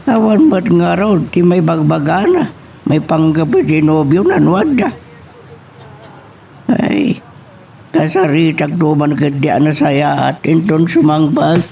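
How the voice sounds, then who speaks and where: Actor as a gravelly, slow-talking concerned man. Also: on the high side, expressive, warm tone, slight background noise, poor audio. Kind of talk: radio drama acting in Ilocano.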